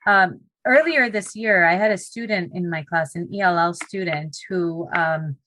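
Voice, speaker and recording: A woman's voice: lowish voice, a little slow, bright, forceful tone, slight background noise, poor audio. A woman whose first language is Punjabi, a teacher talking earnestly in a podcast interview, often restarting her sentences. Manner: earnest, with frequent restarts and fillers. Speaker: teacher and co-author.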